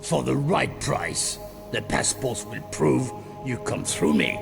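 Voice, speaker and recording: An actor playing a suspicious, dismissive underworld boss in a video game, with a gravelly voice and terse, wary delivery. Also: male voice, medium pitch, big pitch swings, takes it slowly, over loud music, full character voice. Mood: guarded, dealing. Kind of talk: video game cutscene acting.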